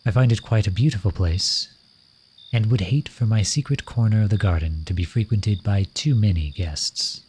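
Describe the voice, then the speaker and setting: Man playing a low-voiced lovesick courtly royal, crickets behind. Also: normal speed, darkish tone, slight background noise, natural voice. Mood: courtly, inviting. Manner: formal, courtly, flowery phrasing. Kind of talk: ASMR romantic roleplay, addressing the listener.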